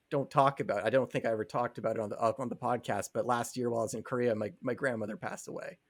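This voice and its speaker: A man's voice: mid-range voice, dry recording, studio quality. A man co-hosting a podcast in casual chat, talking in a rambling way peppered with "like". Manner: rambling, filler-heavy conversational delivery with frequent "like". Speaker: podcast co-host.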